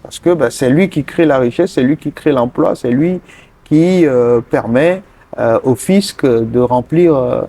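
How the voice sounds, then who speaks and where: A man from Togo, answering interview questions earnestly and pausing often mid-sentence to find his words. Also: medium pitch, warm tone. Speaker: interview guest, politician.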